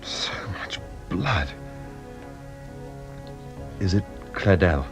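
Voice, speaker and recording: An actor playing a shaken gentleman companion in a radio detective drama, asking subdued, hesitant questions. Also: low-pitched, lively intonation, drawn-out words, faint music behind, exaggerated voice. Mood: shocked, hushed.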